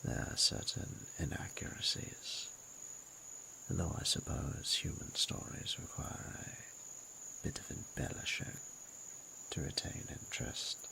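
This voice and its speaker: A man voicing a flirtatious, possessive yet respectful dragon with a very deep, gravelly voice and formal, archaic, teasing diction in a romantic audio roleplay. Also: measured pace, bright, forceful tone, a little hiss or hum, exaggerated voice, low-quality recording. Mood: courtly, teasing. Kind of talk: scripted romantic audio roleplay, intimate monologue.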